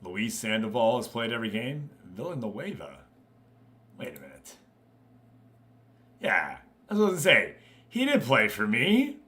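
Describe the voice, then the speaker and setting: A man with a bright, forward voice hosts a live video game stream. He talks informally and conversationally, moving between commentary on the game and direct chat with the people watching. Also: medium pitch, huge pitch swings, a little slow, long pauses. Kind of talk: casual game stream commentary, chatting with viewers.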